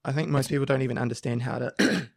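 Croaky, gravelly man chatting on a podcast. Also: medium pitch, quick pace, no echo, clean studio sound. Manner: talkative, explains at length with many restarts. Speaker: podcast co-host. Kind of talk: casual discussion.

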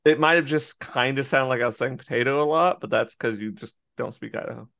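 An American man with a gravelly voice. He talks in a loose, rambling way, restarts his sentences and laughs often, like a podcast co-host chatting with a friend. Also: mid-range voice, fairly quick, fairly bright tone. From United States. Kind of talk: casual chat.

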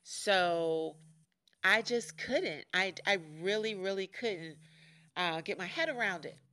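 A woman in her forties from Chicago hosting a podcast, talking frankly and jokingly in a deep, nasal voice. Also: slow, bright, forceful tone. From Chicago, USA. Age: 40s. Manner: frank and joking, laughs often. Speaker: podcast host. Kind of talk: casual candid monologue.

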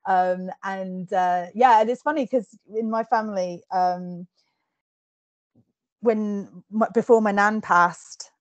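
A woman's voice: mid-range voice, steady tone, slow, dry recording, lo-fi sound. Giggly chatty woman host, dry sound. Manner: chatty, giggly, many fillers and restarts. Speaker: podcast host interviewing an author. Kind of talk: casual interview chat.

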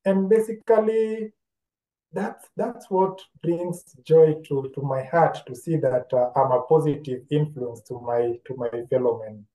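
A man with a Kenyan accent telling his story as an interview guest, deliberate and reflective, often repeating phrases. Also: a little high, warm tone. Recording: roomy, echoing sound.

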